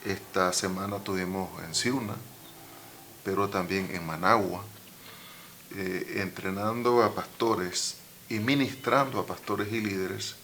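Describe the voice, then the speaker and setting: A man hosting a church radio program and introducing his guest in a studio, talking slowly with many pauses and restarts. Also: low-pitched, steady tone, fairly bright tone, slight background noise. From Nicaragua. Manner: slow, halting, many fillers and restarts. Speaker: radio program host, pastor. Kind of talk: interview introduction.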